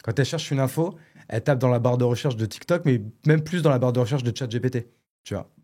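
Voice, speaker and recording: A man's voice: medium pitch, fast talker, warm tone. A man hosting a podcast in casual, rambling talk, slangy and self-mocking, recorded in a studio set. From born in Paris, living in Bordeaux. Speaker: podcast host.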